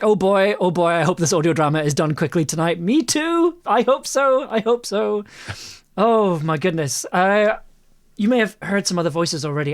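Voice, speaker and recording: A woman hosting a live group stream, chatting casually and playfully, repeating words for effusive emphasis. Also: lowish voice. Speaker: host of a live audio drama stream. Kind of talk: livestream welcome and casual chat.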